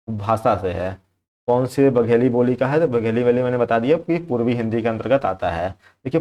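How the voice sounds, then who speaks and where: A man's voice: mid-range voice, muffled recording. A young man teaching exam preparation, going through practice questions in a conversational way that repeats key points and keeps checking in with the viewer.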